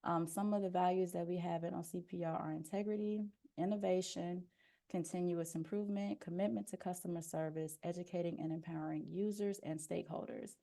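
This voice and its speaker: A soft-spoken woman presenting an informational webinar as a procurement officer, explaining steadily with frequent "um" fillers, speaking into a headphone mic on a video call. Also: lowish voice, fairly even intonation, a little fast.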